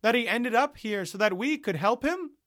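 A man voicing a persuasive, creepy young male host who talks in a smooth, rousing way, like a preacher. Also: very high-pitched, lively intonation, moderate pace, a bit bright, dry recording, clean studio sound, voice pushed up.

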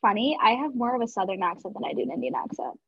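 Husky, bubbly young woman. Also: on the high side, very fast, no echo. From Georgia, US. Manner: bubbly, excitable, talks with lots of fillers. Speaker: podcast co-host. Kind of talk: casual chat. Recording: dull lo-fi sound.

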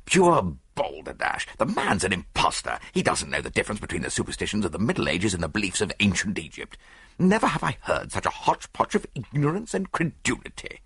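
A man's voice: on the low side, wildly swooping pitch, fairly quick, creaky, fairly bright tone, breathy edge, no room sound, heavily acted. A man voicing a pompous, indignant male academic in an audiobook, emphatic and snorting with scorn. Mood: angry, scornful.

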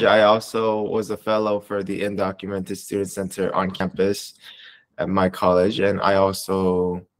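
A man in his twenties with an American accent, answering interview questions casually in a hesitant, halting way with many pauses. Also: low-pitched. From Southern California, United States. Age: 20s. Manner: hesitant, halting, pausing often between short phrases. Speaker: interviewee, community advocate.

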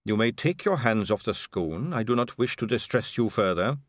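A man doing a calm, sing-song dwarf king. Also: no echo, studio quality. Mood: calm menace. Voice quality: low, sing-song, unhurried. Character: calm, comfortable, menacing male dwarf king.

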